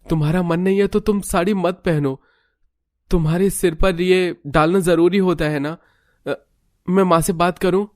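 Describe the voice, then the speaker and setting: Cartoon young husband played by a man: devoted, insistent, earnest, lifted pitch. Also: fairly even intonation, moderate pace, a little mellow, dry recording, clean studio sound, natural voice. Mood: concerned. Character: devoted, reassuring young husband and pleading son.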